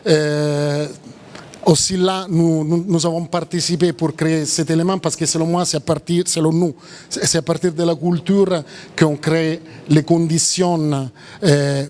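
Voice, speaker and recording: A man with a strong Italian accent giving public remarks as a cooperation official, halting and repeating words with drawn-out pauses. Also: a little high, steady tone, darkish tone.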